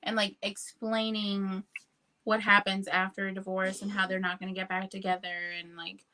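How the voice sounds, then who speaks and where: A woman's voice: mid-range voice, flat delivery, normal speed, bright, forceful tone, low-quality recording. A woman chatting casually about books on a livestream, informal and earnest in manner. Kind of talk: casual book chat.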